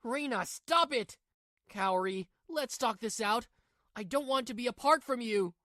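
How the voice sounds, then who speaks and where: A woman's voice: on the high side, a little slow, fairly bright tone, no room sound, studio quality. A woman voicing a weak, cheating husband in a manga dub, stammering and pleading. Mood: defensive, pleading.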